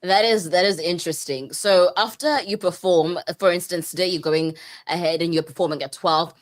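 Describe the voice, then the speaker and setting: A woman's voice: lowish voice, steady tone, moderate pace. A woman hosting a radio interview, earnest and reflective as she asks questions. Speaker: radio show host.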